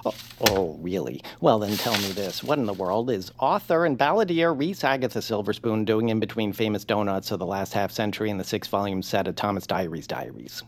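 A woman's voice: rumbling low, lively intonation, brisk, few pauses, slight background noise. A woman voicing a fussy, earnest, timid male peepkin who loves books, in an audio drama. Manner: fussy, earnest, soft-spoken.

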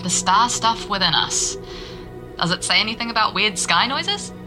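A woman's voice: mid-range voice, lively intonation, moderate pace, music in the background, natural voice. An actress playing a young, nervous and eager woman reporter in naturalistic audio drama dialogue. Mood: curious, playful. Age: young adult. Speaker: young arts radio reporter and local guide.

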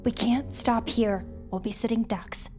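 Woman acting a bossy teen girl, highish voice, sure of herself, assertive, audio drama. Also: steady tone, measured pace, over music, lightly acted. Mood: urgent, insistent. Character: bossy, determined teenage girl leading an escape.

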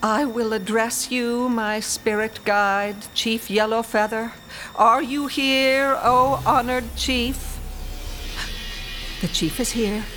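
A woman's voice: a little high, fairly even intonation, slow pace, pushed and bright, faint music behind. A woman playing a possessive, scornful spirit medium in a radio horror drama, with grand, dramatic phrasing. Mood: solemn, summoning.

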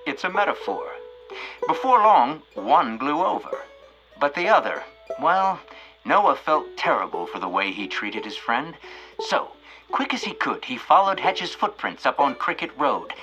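An actor playing a folksy, wry and playful narrator of a storybook-style audio drama. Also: male voice, a little high, sing-song, a little slow, sharp tone, lo-fi sound, soft background music, exaggerated voice.

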